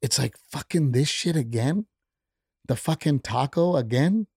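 Gravelly, fired-up male comedian. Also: mid-range voice, expressive, a little slow, a little mellow, no echo, clean studio sound. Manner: blunt, heated, profane. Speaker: stand-up comedian, podcast guest. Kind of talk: casual chat. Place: podcast studio.

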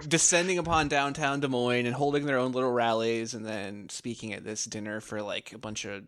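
A man co-hosting a casual comedy podcast, laid-back, often trailing off quietly. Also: medium pitch, steady tone, fairly quick. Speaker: podcast co-host.

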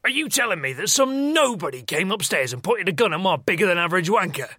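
Someone acting a pissed off hotel guest, raspy, voice swinging all over, swears a lot. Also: medium pitch, very animated, quick pace, sharp tone, dry recording, clean studio sound. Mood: outraged. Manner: blustering, profane, incredulous. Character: irate, foul-mouthed, indignant hotel guest. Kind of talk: audio drama acting.

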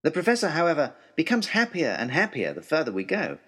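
Animated male storyteller, higher-pitched voice. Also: fast. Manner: expressive, dramatic, formal period diction. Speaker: storyteller, first-person narrator. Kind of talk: scripted adventure-journal narration.

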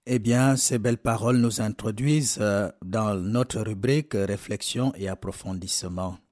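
A man's voice: on the low side, moderate pace, darkish tone, dry recording. A man presenting a radio segment, reading a formal introduction. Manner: formal, read aloud. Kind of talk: scripted announcement.

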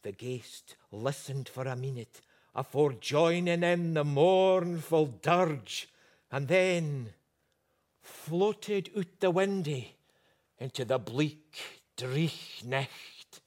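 A man voicing a wry male storyteller in broad old Scots, with a Scottish accent, slowly savouring the old words in a theatrical telling. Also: fairly high pitch, a little mellow, pitched above the performer's own voice. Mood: ominous.

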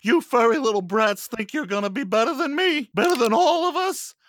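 A performer plays a desperate cereal-craving rabbit from a cartoon commercial spoof. The delivery is melodramatic and wheedling, with lively swings in pitch. Faint noise sits behind the voice. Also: fairly quick, close to an everyday voice. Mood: tense, cornered. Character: desperate, scheming cereal-craving rabbit mascot turned rebel.

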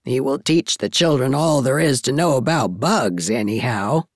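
A woman's voice: extremely low pitch, moderate pace, no echo, studio quality, pitched below the performer's own voice. A woman voicing a blunt, opinionated older matchmaking woman in a storybook, with an emphatic, forthright way of talking.

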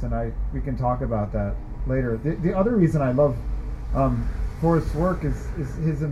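A man from California introducing a fellow poet in a chatty, halting way, with many fillers and restarts. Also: medium pitch, background noise. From Sacramento, California, US. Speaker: poet at a reading. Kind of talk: casual introduction and poetry reading. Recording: muffled lo-fi recording.

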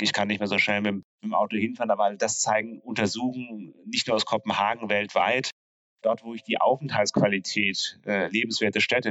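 A man explaining street and cycle route planning in a relaxed conversational way, pausing often with fillers and restarting sentences. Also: lowish voice, no echo, lo-fi sound. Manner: hesitant, many fillers and restarts. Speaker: cycling infrastructure planner.

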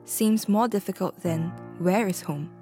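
A woman from Singapore delivers scripted documentary narration in a measured, reflective voice-over. Clear background music plays under her. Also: mid-range voice.

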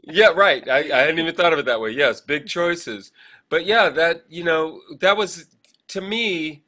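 A man with an American accent hosting a casual chat, animated and given to acting out quoted remarks. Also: a little high, unhurried. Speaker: podcast host, actor and director.

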